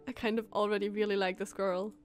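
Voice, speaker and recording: A woman giving casual, incredulous live commentary as she streams a video game, full of questions. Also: medium pitch. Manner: animated, incredulous, asks many questions.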